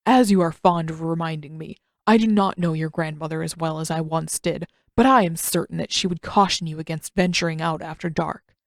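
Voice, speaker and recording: Lady doing a stiff old man for a story, suspicious, formal, kinda halting, very dry close sound. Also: deep voice, steady tone, creaky, dry recording, voice pushed down. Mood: stern, disapproving.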